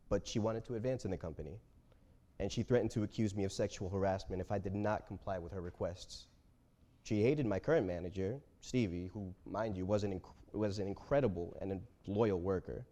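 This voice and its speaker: A man in a staged reading playing an embattled company boss who feigns wounded dignity, speaking haltingly and solemnly. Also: fairly low pitch, mellow, lightly acted. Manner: halting, self-pitying, solemn denials. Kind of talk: staged play reading of a TV interview.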